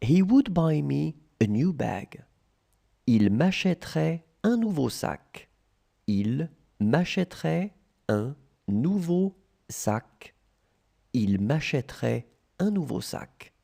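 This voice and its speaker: Slow gravelly dictation voice. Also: mid-range voice, dark tone. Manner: repeats each phrase, splitting it into chunks. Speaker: language tutor.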